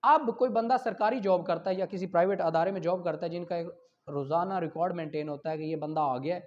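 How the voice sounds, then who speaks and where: A man's voice: high-pitched, fast talker, darkish tone. A man with a Pakistani accent lecturing on law, reading out articles and explaining them with rhetorical questions and examples. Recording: dull lo-fi audio.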